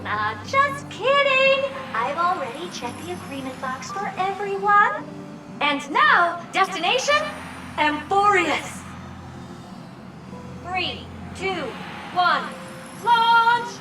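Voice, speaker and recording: Brief: a teasing showman girl, very high and slow-spoken, echoey. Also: very animated, full character voice. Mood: playful. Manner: teasing, theatrical, jokes then announces. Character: playful, showy young woman launching the team. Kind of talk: game voice acting. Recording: echoey and from far off. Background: game music.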